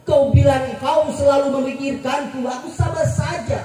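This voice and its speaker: A man's voice: very high voice, steady tone, moderate pace, soft background music. A man reciting a protest poem with dramatic, declamatory delivery at a village stage performance. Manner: declamatory, rising to shouts. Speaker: poetry reader. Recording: echoey stage PA from far off.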